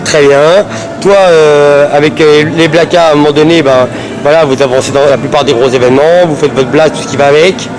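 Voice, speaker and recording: A man's voice: a little high, steady tone, brisk, over music, poor audio. A man hosting a street interview outdoors, asking chatty questions with lots of fillers.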